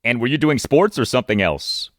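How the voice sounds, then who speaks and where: An American man hosting a sports interview, putting prepared questions in a deliberate, formal way. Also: mid-range voice, expressive, fairly bright tone, no room sound, studio quality. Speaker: sports podcast host, interviewer.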